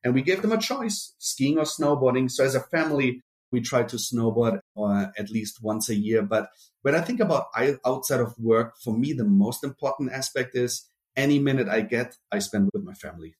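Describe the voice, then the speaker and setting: A man's voice: mid-range voice, expressive, moderate pace. A middle-aged man with a German accent, born in Brazil, speaking casually as an interview guest with many restarts. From Brazil, raised in Germany. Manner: rambling, many restarts and fillers. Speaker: marketing executive guest.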